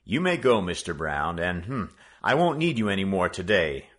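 A man reading a story aloud, voicing a kindly, shrewd middle-aged businessman speaking to his son in a measured, dry way. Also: low-pitched, very animated, fairly bright tone.

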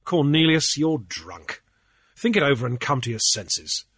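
A man's voice: medium pitch, very animated, unhurried, fairly bright tone, slightly rough, no echo, studio quality, heavily acted. A man voicing a stern, impatient male sea trader who speaks curtly. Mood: firm.

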